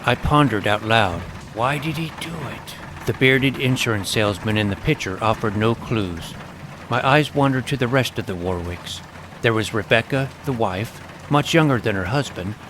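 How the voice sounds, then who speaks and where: A man narrates a scripted story in the first person. His voice sits at a medium pitch. Clear ambient noise and effects run behind him.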